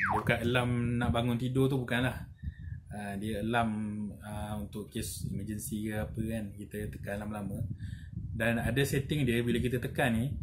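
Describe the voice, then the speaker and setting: Malaysian man explaining gear, noisy recording. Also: medium pitch. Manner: halting, repetitive explaining with frequent "lah" and "eh" tags. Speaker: product reviewer and seller. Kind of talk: casual product tutorial. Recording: noisy, with audible background noise and clicks.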